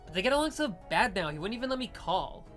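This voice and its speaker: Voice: medium pitch, sing-song, fast, a bit bright, faint music behind. A woman voicing a bored, eager young adult woman in a visual novel, talking in slangy valley girl style. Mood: bored, frustrated. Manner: slangy, casual, perky.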